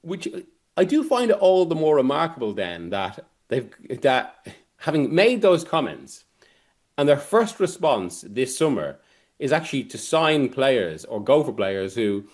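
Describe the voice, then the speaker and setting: Halting man talking football analysis. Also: mid-range voice, very animated. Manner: halting, rambling, self-interrupting delivery. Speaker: football journalist guest. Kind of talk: sports discussion, analysis.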